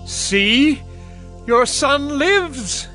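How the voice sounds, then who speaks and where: Fervent prophet for audio Bible drama: high voice, slow, stern declamation. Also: male voice, expressive, sharp tone, heavily acted. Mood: solemn. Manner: solemn, declamatory. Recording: music under the voice.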